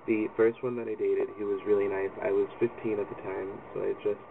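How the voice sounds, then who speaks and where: A man's voice: on the low side, little pitch movement, a little fast, vocal fry. A young man, talking candidly and rambling with lots of ums in a personal video blog. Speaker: video blogger. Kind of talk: personal vlog monologue. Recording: distant lo-fi phone-like audio with loud background noise.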